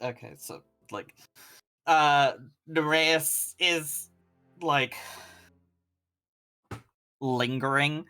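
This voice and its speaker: Game master for an actual-play tabletop show: unhurried, informal and halting, over faint music. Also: medium pitch, steady tone, pushed and bright, smooth. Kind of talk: casual tabletop game narration and rulings.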